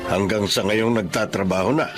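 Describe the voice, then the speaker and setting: A man's voice: lowish voice, steady tone, normal speed, natural voice. An actor playing a stern, demanding middle-aged Filipino father in a radio drama, with a critical, domineering manner. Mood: disapproving. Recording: music behind the voice.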